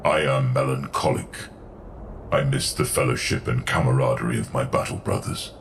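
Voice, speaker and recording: A man's voice: a bass voice, steady tone, normal speed, a little hiss or hum, voice pushed down. A man voicing a melancholic, stern warrior chaplain in an audio drama, speaking in solemn, formal phrases. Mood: weary patience. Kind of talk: dramatic audio story character acting.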